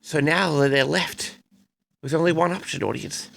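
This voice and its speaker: A man's voice: on the high side, normal speed, vocal fry, a bit bright. A man hosting a personal audio log, rambling casually and hesitantly, often repeating himself as he addresses his listeners. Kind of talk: rambling casual monologue to audience.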